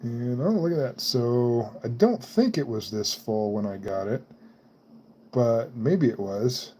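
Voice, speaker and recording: A man's voice: mid-range voice, expressive, slow pace, a little mellow, a little hiss or hum. A man hosting a live stream, chatting casually and laughing often while he works on computer parts. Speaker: livestream host building computers. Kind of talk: casual chat while working.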